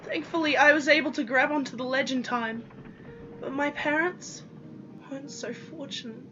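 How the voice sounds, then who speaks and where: Bright high-voiced young woman narrating a story. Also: steady tone, normal speed. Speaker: reader of own story. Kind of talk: dramatic first-person story narration. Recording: dull lo-fi recording with music behind. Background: music.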